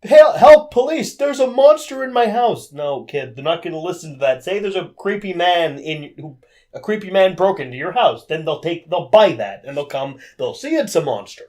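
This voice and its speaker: A man hosting a casual film review podcast, animated and acting out scenes as he talks. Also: high voice, moderate pace. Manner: animated, acts out quotes. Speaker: podcast host.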